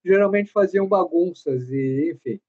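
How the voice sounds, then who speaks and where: A man's voice: high voice, measured pace. A man, a cultural producer and screenwriter, telling anecdotes as a guest, with hesitant starts. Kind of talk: storytelling interview answers. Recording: dull lo-fi audio.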